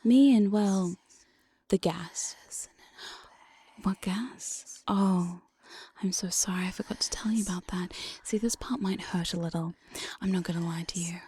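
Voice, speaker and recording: A breathy demon mistress of a dungeon , played by a woman for an ASMR roleplay. She whispers close to the microphone in a soft, relaxed voice. Her manner is slow, coaxing and mock-sweet, with a teasing, domineering edge. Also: fairly low pitch. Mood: coaxing, commanding. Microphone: close binaural studio microphone.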